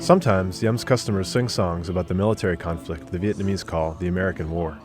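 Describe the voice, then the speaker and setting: A man tells a radio story in a measured, scripted way. His voice sits very low. Faint music plays behind him. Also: fairly even intonation. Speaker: reporter narrating.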